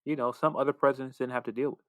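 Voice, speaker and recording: A man's voice: medium pitch, steady tone, very fast, fairly bright tone, dry recording. A man chatting casually as a podcast co-host, reflective and a little hesitant. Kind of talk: casual conversation.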